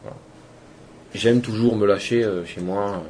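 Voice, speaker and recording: Low-voiced man rambling in an interview. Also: steady tone, unhurried, a little mellow, a little hiss or hum. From Toulouse, France. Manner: loose and rambling, trails off with fillers. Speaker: electronic music DJ and producer. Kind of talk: casual interview answers.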